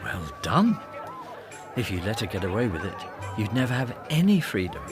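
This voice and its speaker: Smooth flattering guy in a radio play, swoopy voice, music behind. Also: lowish voice, normal speed, a crowd in the background. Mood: insinuating. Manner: coaxing, insinuating, flattering. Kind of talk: radio drama acting.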